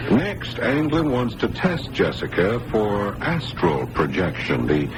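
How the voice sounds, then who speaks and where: A man acting in a 1980s radio drama as an earnest doctor who researches the paranormal and gets halting and rattled. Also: lowish voice, very animated. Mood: businesslike. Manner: halting, stammering under pressure. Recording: noisy old radio broadcast recording.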